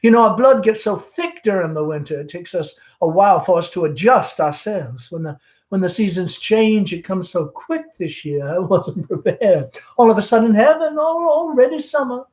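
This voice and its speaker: A man's voice: high voice, expressive, normal speed, a little mellow. A man voicing a chatty middle-aged Southern belle mother, genteel and fretful, with a gushing, rambling, theatrical manner, in a stage play reading. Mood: flustered, chatty.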